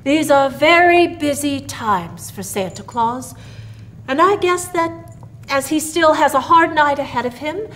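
A woman's voice: high-pitched, lively intonation, measured pace, fairly bright tone, slight background noise, pitched above the performer's own voice. A woman voicing a jovial, well-to-do father in a storybook, speaking formally and with mock-grand emphasis. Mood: grave. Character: Mister Harrison, grave, jovial well-to-do father.

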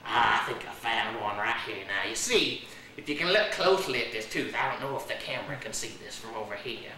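A man's voice: lowish voice, expressive, a little fast, bright, forceful tone, slight background noise, pitched below the performer's own voice. A man playing a boastful Australian croc handler. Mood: folksy boastful. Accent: put-on Australian. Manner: jokey, folksy, drawling, laughs at own jokes. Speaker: guest playing a crocodile dentist. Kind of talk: comedy sketch acting. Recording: echoey room, heard from a distance.

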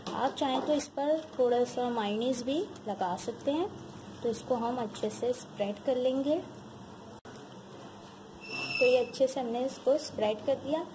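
A woman with a speech hosting a home cooking tutorial, calmly walking viewers through each step in her kitchen. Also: on the high side. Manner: calm, instructive, addresses viewers directly. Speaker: cooking channel host. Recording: noisy home recording with background ambience.

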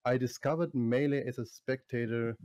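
A man's voice: mid-range voice, dry recording. Drowsy man on a call. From Germany. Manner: relaxed, drowsy and unhurried. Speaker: guest streamer. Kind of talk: casual interview over voice call.

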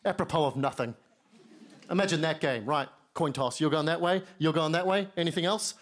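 A young man with a New Zealand accent doing stand-up on a TV studio stage into a handheld mic, wry and self-mocking, dropping into lower voices for quoted lines. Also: a little fast. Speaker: stand-up comedian. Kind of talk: stand-up set. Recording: studio stage with audience noise. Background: studio audience laughter.

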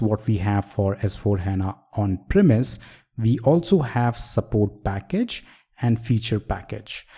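A man teaching a screen-recorded software tutorial, explaining step by step and asking the viewer questions. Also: mid-range voice. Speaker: software trainer.

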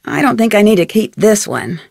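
A woman with an American accent playing a plucky, curious teenage girl detective in a video game, thinking aloud in a clear, chipper way. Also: mid-range voice, big pitch swings, fairly quick, a little mellow, no echo, clean studio sound, heavily acted. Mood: matter-of-fact. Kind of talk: scripted video game voice lines.

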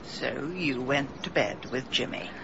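Radio drama: middle-aged female detective, deep for a woman, very animated, dry, knowing, pointed. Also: measured pace, creaky, dull audio, noisy background, full character voice. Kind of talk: British radio drama acting.

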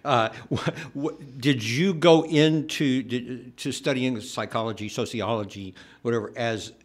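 A man's voice: medium pitch, breathy edge. Guy hosting a radio show in a studio, stops and restarts his question a lot. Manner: halting, searching questions. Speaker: radio host. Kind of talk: radio interview.

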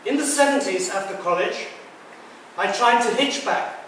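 A man's voice: high-pitched, lively intonation, moderate pace, a bit bright, slight background noise. A British-born man in his sixties giving a talk to an audience, chatty and joking with them. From Britain. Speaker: guest speaker. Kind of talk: public talk. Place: large echoey lecture room. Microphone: far camera mic. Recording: echoey room from far off.

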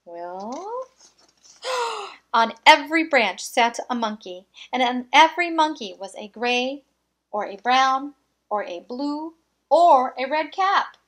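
A woman reading a children's picture book aloud, with expressive, deliberate phrasing and small asides. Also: high voice.

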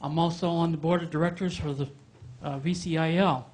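A man's voice: fairly high pitch, steady tone, fairly quick, darkish tone. A middle-aged man speaking bluntly into a handheld microphone during public comment at a town meeting, raising complaints. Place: town hall meeting room.

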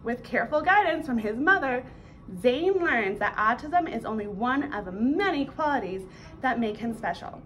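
A young woman reads a children's book aloud in a fairly high voice. She is recorded in a small indoor room with faint noise behind her. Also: lively intonation, normal speed, fairly bright tone, poor audio. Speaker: school staff member presenting a read-aloud.

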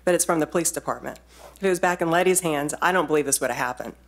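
A woman in her forties speaking at a podium in a council chamber, insistent and quick to interrupt. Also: sits low. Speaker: resident speaking at a public meeting. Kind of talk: public comment at a town board meeting. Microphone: standing podium mic from a distance.

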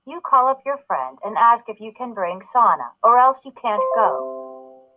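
A woman's voice: fairly high pitch, very animated, a little slow, phone-quality sound, faint music behind. A woman voicing a stern, insistent mother who scolds her children in a storybook.

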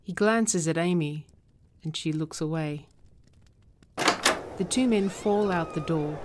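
A woman with a low-pitched voice reads scripted narration. Her delivery is measured and matter-of-fact, setting out stage directions plainly. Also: very noisy.

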